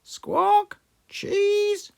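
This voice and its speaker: Storybook pet parrot: very high, shrill squawks, parroting short phrases. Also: completely flat delivery, drawn-out words, creaky, full character voice. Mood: squawking, mimicking. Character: squawking female pet parrot copying people's words.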